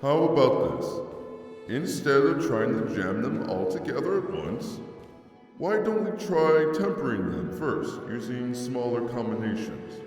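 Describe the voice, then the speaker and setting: A man's voice: medium pitch, darkish tone. A man voicing a laid-back skeleton lab intern in a comic dub, wry and casual in manner. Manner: slow, casual, wry, hesitant drawl. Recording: echoey hall-like reverb, distant, faint music.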